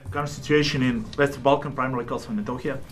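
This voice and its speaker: A man's voice: medium pitch, fairly even intonation, racing, slight background noise. A male reporter from Serbia with a Serbian accent, asking formal press questions.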